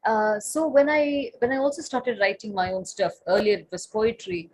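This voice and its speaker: A woman's voice: a little high, moderate pace, a little mellow, a little hiss or hum. A woman in her late thirties, a Tamil native speaker, answering on a panel as a writer and translator, thoughtful, with many fillers and restarts.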